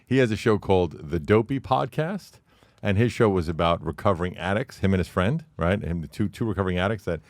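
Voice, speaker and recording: A man's voice: sits low, lively intonation, fairly quick, no echo, clean studio sound. A man in his late forties, a guest on a podcast, talking in a blunt, profane, brash storytelling way. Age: 40s. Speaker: podcast guest, comedy club owner. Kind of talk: casual podcast chat.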